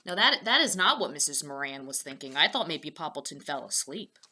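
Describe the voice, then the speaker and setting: A woman's voice: low voice, sing-song, fairly quick, pushed and bright. A woman teacher reading a children's storybook aloud, expressively, with asides spoken directly to her young listeners.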